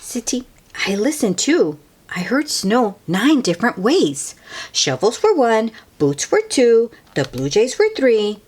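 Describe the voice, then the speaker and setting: A woman voicing an eager, excited young granddaughter in a children's storybook. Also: a little high, slow, husky, voice pushed up, heavily acted. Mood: chatty, eager. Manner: exclaiming, enthusiastic.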